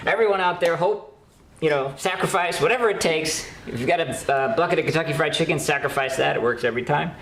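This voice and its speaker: A man's voice: a little high, quick pace, fairly bright tone. A man co-hosting a horror news show with casual, bantering talk. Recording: roomy echo, faint background noise.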